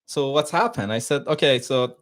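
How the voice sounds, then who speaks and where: A man's voice: medium pitch, normal speed. A man from Iran with a Persian accent, a podcast guest telling anecdotes casually in a joking way, often laughing through his words.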